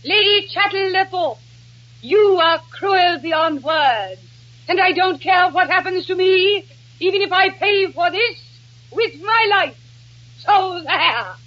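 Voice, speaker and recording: A pert, very high-voiced young actress. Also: female voice, lively intonation, slow, exaggerated voice. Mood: stagy. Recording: dull old broadcast recording.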